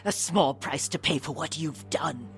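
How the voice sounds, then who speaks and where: An actress playing a bitter, resentful older noblewoman villain in a video game, deliberate and venomous in her words. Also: low voice, faint music behind. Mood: bitter rage. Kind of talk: dramatic game voice acting.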